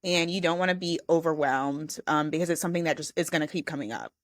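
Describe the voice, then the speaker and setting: A woman's voice: low-pitched, fast, dry recording, clean studio sound. A young adult woman co-hosting a podcast, giving frank, assertive advice in casual chat with lots of "like" fillers. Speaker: podcast co-host.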